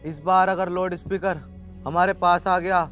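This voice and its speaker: Guy acting old great-grandpa giving village vote speech, comedy skit, highish voice. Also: little pitch movement, normal speed, fairly bright tone, soft background music. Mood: earnest.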